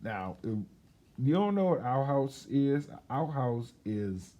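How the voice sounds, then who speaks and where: A man reading a children's storybook aloud as a storyteller, in an expressive, chatty way with casual asides. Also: medium pitch, slow pace.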